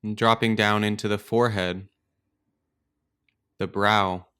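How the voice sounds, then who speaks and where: Smooth, low-voiced man guiding meditation. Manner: calm, gentle, unhurried phrasing with pauses. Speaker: meditation teacher and podcast host. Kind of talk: guided meditation, slow and instructional.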